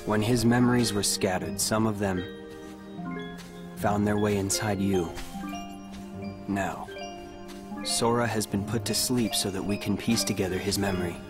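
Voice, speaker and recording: Casting: man as brooding young game hero, deep and reserved, slow understated delivery, music underneath. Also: fairly even intonation, close to an everyday voice. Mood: somber, solemn. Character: guarded, brooding young male loner with quiet loyalty. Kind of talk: video game cutscene acting. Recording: music behind.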